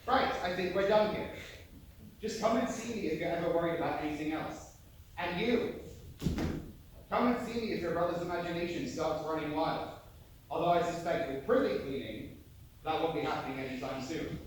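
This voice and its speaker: Stern, high-voiced ship's officer played by a man. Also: normal speed, natural voice. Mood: wry, dismissive. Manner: firm, authoritative, formal. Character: stern male ship's officer handing out punishments. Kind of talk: stage play acting. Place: theatre stage, recorded from a distance. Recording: echoey hall, distant mic, faint noise.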